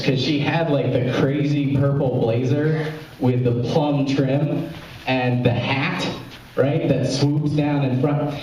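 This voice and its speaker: A man's voice: a little high, a little mellow, slight background noise. A young man from Memphis doing rambling open mic stand-up, breaking off mid-joke and laughing at his own lines. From Memphis, Tennessee, US. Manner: rambling, self-interrupting, laughs at own jokes. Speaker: stand-up comedian. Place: small club, live audience. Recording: very echoey room, from far off.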